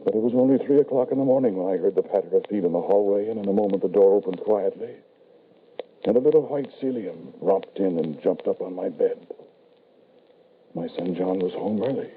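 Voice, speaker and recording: An actor playing an anguished, grieving father who narrates his tale solemnly and haltingly in an old-time radio horror drama. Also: deep voice, a little hiss or hum, lightly acted. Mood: grim narration. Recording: muffled, band-limited old radio recording.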